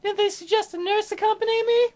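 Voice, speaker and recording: Guy doing a super high little girl voice, sick visual novel heroine, stubborn and wistful, kinda pleading. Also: fairly even intonation, fast, a little mellow. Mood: scolding. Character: young terminally ill girl, teasing, wistful, stubborn.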